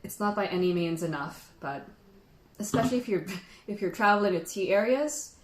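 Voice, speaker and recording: A woman's voice: medium pitch, lively intonation, normal speed, a little hiss or hum. Chatty woman in an echoey room. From Philippines. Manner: chatty, laughs often, reads out viewer comments. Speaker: tea livestream host. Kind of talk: casual livestream chat while tasting tea.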